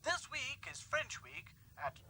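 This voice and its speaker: A man's voice: extremely high pitch, big pitch swings, slow pace, vocal fry, bright, forceful tone, slight background noise, voice pushed up, heavily acted. A man voicing a store's radio announcer in a storybook, selling in a sing-song way. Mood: salesy. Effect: headphone radio.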